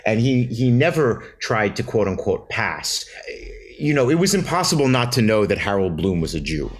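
A man's voice: fairly low pitch, big pitch swings, moderate pace. A man, a novelist being interviewed, answering at length in a reflective way, often restarting phrases with fillers. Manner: thoughtful, many restarts and fillers. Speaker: novelist guest.

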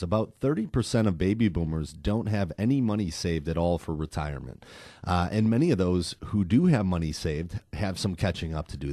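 A man's voice: deep voice, warm tone, dry recording, studio quality. A man co-hosting a radio show, laid-back and reading out figures. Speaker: radio co-host. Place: radio studio.

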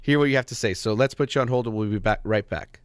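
A man hosting a call-in advice radio show, speaking in a calm, measured way. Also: medium pitch, clear, resonant tone, no echo, studio quality. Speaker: radio host.

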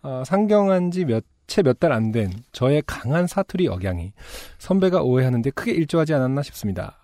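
A man's voice: mid-range voice, very animated, fairly quick, warm tone, no echo, studio quality. A man co-hosting a casual talk podcast, reading a listener's letter aloud with laughing asides.

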